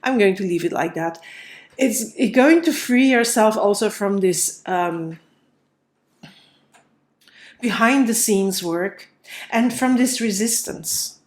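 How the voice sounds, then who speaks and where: A woman's voice: mid-range voice, slow, long pauses. A woman with a cold and a slightly nasal voice, thinking aloud as she gives a tarot card reading, with many fillers and restarts. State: stuffy nose from a cold. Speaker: tarot reader.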